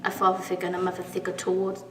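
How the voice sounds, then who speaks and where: A woman in her twenties giving an open, reflective church testimony in a soft voice. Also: fairly low pitch, completely flat delivery, rapid-fire. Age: 20s. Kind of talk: testimony interview. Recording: echoey hall, mic far off, music behind.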